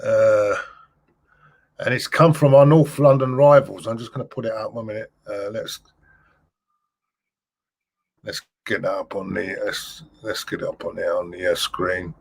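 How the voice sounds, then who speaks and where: A man hosting a casual football chat show who reads out news items and puts questions to his guest, speaking haltingly with frequent fillers. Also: on the low side, fairly even intonation, gravelly, breathy edge. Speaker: host.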